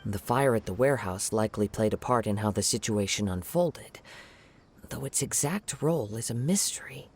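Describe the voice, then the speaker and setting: A man voicing a calm, polite investigator in a game who reasons aloud in a formal, measured way. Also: on the low side, slight background noise, natural voice. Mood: thoughtful. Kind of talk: game voice acting.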